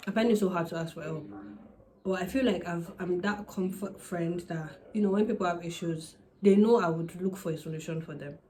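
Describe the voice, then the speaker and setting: A young Nigerian woman with a native Yoruba lilt talks candidly to camera in a relaxed sit-down chat, slipping into quick asides. Her voice sits on the lower side. A faint hum of room noise stays behind her. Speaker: vlogger. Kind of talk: casual sit-down advice chat.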